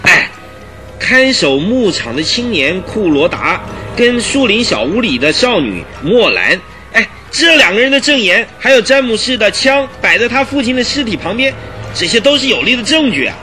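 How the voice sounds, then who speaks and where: A man's voice: fairly high pitch, big pitch swings, fairly quick, sharp tone, soft background music. A man playing an indignant, stubborn police detective chief in a Mandarin radio drama, emphatic and argumentative.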